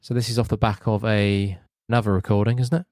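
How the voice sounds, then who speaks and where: A man co-hosting a podcast, talking in a droll, deadpan way. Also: fairly low pitch, fast talker, no room sound, studio quality. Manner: droll, deadpan, fussy about housekeeping. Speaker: podcast co-host. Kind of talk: casual chat. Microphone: new podcast microphone, spoken into from the side.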